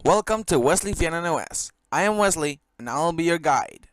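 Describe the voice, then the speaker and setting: A man's voice: fairly high pitch, lively intonation, moderate pace, dry recording, lightly acted. A man voicing a smug, bossy operating system assistant that taunts its user in a cartoon skit. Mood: instructional. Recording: heavy noise behind the voice.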